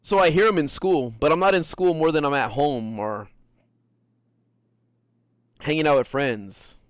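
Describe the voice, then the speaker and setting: An American man streaming a game and chatting casually, animated and swearing freely, with frequent laughs. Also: a little high, measured pace, clear, resonant tone, no echo, clean studio sound. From United States. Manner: animated, profane, laughs often. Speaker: gaming streamer. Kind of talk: casual chat while gaming.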